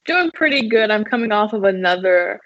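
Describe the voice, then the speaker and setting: A woman co-hosting a casual chat show over a remote call, self-deprecating and quick to be amused. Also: medium pitch, no room sound. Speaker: co-host. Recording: dry, dull lo-fi livestream audio.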